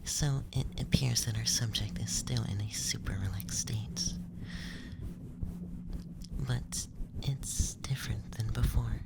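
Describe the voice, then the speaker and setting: A man playing a curious young alien examiner in a whispered ASMR roleplay, speaking in measured, scientific phrases close to the microphone. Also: medium pitch, fairly even intonation, gravelly, poor audio. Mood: curious, teasing.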